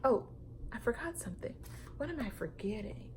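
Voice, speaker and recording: A woman reading a story aloud to children like a teacher, expressive and emphatic, with dramatic pauses and questions to her young listeners. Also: a little high, a little hiss or hum.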